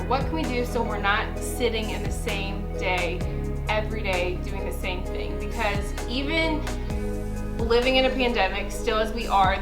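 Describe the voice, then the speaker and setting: A woman in her late twenties talking in a chatty, upbeat way in a casual personal vlog, often laughing as she tells her story. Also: mid-range voice. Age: 20s. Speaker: vlogger. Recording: music bed under the voice.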